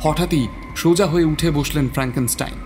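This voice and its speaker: A man's voice: on the high side, fast talker, a little mellow. A man narrating a scripted horror story in a suspenseful, theatrical manner. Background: prominent dramatic music.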